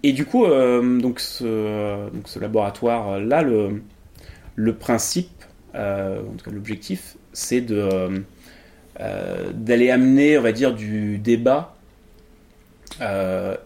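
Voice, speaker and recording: A lowish male voice that halts and restarts often, full of fillers and quick laughs. He is a young man from England with English as his first language, talking casually as an interview guest about his work in improv. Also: gravelly, warm tone. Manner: hesitant, many fillers and restarts, laughs easily.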